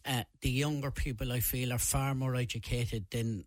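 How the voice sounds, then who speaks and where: A man's voice: mid-range voice, fairly even intonation, normal speed, no echo. A man giving opinions as a panel guest, plain-spoken and repeating himself for emphasis. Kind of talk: casual discussion, opinion.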